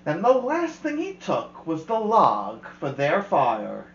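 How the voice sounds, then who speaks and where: A woman host reading a children's rhyming picture book aloud with expressive, rhythmic delivery. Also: deep voice, slow, echoey room, muffled recording, slight background noise. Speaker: storyteller host.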